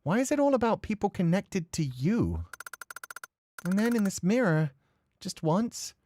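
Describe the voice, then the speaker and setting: A man voicing a visual novel character, an eager-to-please, polite younger man with a quietly unsettling sweetness. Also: high voice, very animated, measured pace, a little hiss or hum, voice pushed up. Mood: unsettled.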